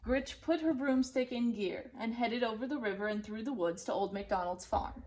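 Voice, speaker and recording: A woman narrating a children's storybook in an expressive, deliberate and dramatic way. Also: on the high side, low-quality recording. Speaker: storybook reader.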